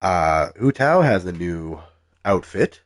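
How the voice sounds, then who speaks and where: A man's voice: low voice, very animated, takes it slowly, dry recording, studio quality. A man streaming a video game live, talking casually with a stuffed-up cold voice and lots of ums as he reads out the game's menus. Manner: soft-spoken, many ums, reads game text aloud.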